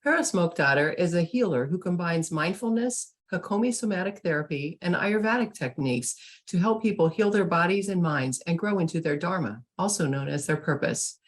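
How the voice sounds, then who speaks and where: A woman with a notably low, deep voice hosts an interview and sounds upbeat and enthusiastic. She reads a guest's bio and welcomes her warmly to the conversation. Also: animated, a little fast, few pauses. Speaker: host and publisher. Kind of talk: online summit interview.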